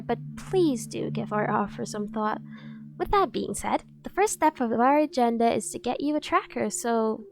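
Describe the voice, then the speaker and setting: A woman's voice: high voice, a little mellow. A woman playing a devoted, obsessive female bodyguard in an ASMR roleplay, persuasive and insistent while feigning professionalism. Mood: eager, coaxing.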